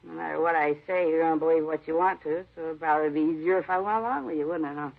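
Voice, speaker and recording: A woman's voice: low-pitched, very fast, creaky. An actress in a 1950s radio crime drama playing a defiant, sarcastic woman suspect who talks in slangy, challenging questions, on a dull vintage recording. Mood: sarcastic, tipsy.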